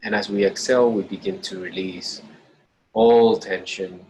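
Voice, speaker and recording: A man leading a guided meditation in a slow, deliberate, gentle instructional way, pausing between short phrases. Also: on the low side, steady tone, low-quality recording. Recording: clearly audible background noise.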